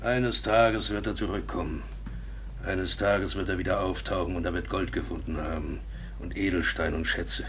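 An actor playing a deluded, impoverished colonial trader in a radio play, rambling insistently and feverishly in a hoarse, breathy voice. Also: male voice, low-pitched, a bit bright, a little hiss or hum. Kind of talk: German radio play acting.

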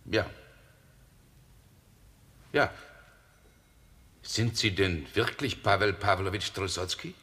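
An actor playing a brooding, suspicious gentleman in a 1960s German radio drama, sardonic and agitated in manner. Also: deep voice, slow, pauses often, full character voice. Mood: sardonic, imperious. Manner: agitated, sardonic, muttering to himself. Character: brooding, suspicious gentleman confronting a nocturnal visitor.

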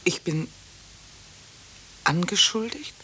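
Gentle wife, low-pitched and husky, restrained, anxious, questioning, vintage radio drama. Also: female voice, takes it slowly, heavily acted. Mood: shocked, hushed. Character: quiet, fair-minded farmer's wife, anxious and gentle. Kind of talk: 1950s German radio play acting.

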